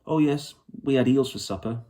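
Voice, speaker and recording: A man's voice: mid-range voice, moderate pace, dark tone, pitched above the performer's own voice, natural voice. A man voicing a fierce, stubborn young girl in a children's storybook, earnest and eager, rambling breathlessly in ungrammatical colloquial speech.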